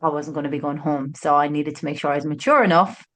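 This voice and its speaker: A woman's voice: sits low, steady tone, quick pace. A woman in her late thirties with a County Kerry Irish accent, a podcast guest telling her story in a chatty, rambling way. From Ireland. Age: late 30s. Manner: chatty, warm storyteller with frequent "like" and "um". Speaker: guest author. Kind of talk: casual podcast interview. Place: studio in person with host.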